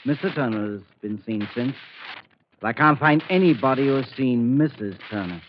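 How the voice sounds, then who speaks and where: An actor playing an older, knowing doctor who relates a mystery in a deliberate, avuncular way, in 1940s radio acting style. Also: male voice, medium pitch, lively intonation, natural voice. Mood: patient, intriguing. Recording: dull, faintly noisy 1940s radio recording.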